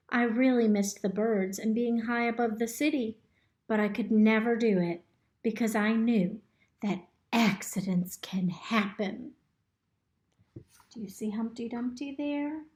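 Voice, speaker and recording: Woman reading a kids picture book out loud, kinda high voice, slow n expressive, echoey room. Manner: expressive, instructive, speaking simply to children. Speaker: storybook reader. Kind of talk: reading a picture book aloud to young children, pausing to ask questions. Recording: roomy, echoey indoor recording.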